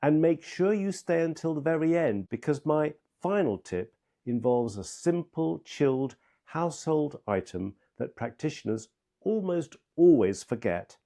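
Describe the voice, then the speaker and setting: A man with a soft-edged, mellow voice at a middling pitch presents scripted instruction as a medical trainer. He speaks in a measured, step-by-step manner and leans firmly on key words. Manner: emphatic, measured, step-by-step delivery.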